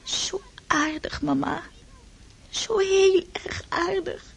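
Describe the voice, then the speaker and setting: A woman's voice: very high voice, takes it slowly, gravelly, exaggerated voice. A woman playing a naive, emotional teenage noblewoman in a radio drama, faltering and breathless as she speaks. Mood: sorrowful. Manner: breathless, faltering, swooping delivery.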